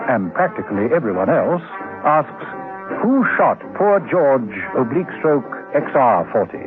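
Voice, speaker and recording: A man playing the wry narrator of a spy radio serial, telling it in a measured, theatrical, deliberate way. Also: medium pitch, very animated, phone-quality sound, over music. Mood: suspenseful, wry. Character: wry, suspenseful storyteller of a spy thriller. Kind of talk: dramatic scripted radio narration. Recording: band-limited old 1970s radio recording.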